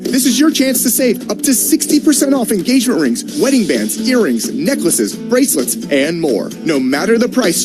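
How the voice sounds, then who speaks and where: Male commercial announcer, high and animated, over clear music bed. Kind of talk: scripted retail radio ad.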